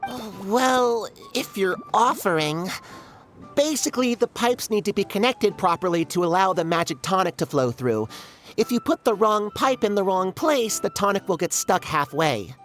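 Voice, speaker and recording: A woman's voice: mid-range voice, animated, normal speed, soft background music, natural voice. A woman voicing a sarcastic, no-nonsense little forest creature in a video game, with a dry, scolding manner. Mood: reluctant, helpful. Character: sarcastic, no-nonsense paper forest creature, exasperated but helpful. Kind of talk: animated video game voice acting.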